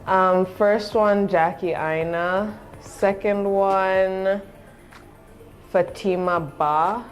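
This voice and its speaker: A young woman from Zambia, a guest chatting casually in an interview, relaxed and laughing often, with many fillers. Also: mid-range voice, extremely slow, lo-fi sound, faint music behind. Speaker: guest, content creator.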